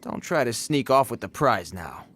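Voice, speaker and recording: A man's voice: medium pitch, big pitch swings, moderate pace, a bit bright, slight background noise. A man voicing a streetwise bandit thug in a video game, taunting and slangy with a swagger. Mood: taunting, amused. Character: mocking, streetwise male bandit thug, rough-mannered.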